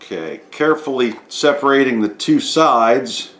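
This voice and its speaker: Man, mid-pitched voice, methodical DIY presenter, unscripted step-by-step narration, echoey indoor room. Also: unhurried, a bit bright, slight background noise. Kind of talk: hands-on repair demonstration, unscripted narration.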